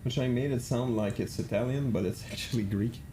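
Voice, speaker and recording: A man's voice: mid-range voice, fairly quick, noisy background. A man streaming a flight simulator, chatting casually, thinking aloud and answering chat with many fillers.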